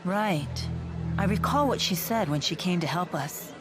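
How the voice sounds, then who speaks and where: An actress playing a calm, direct woman in a video game, questioning people with composure. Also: fairly low pitch, measured pace, music in the background.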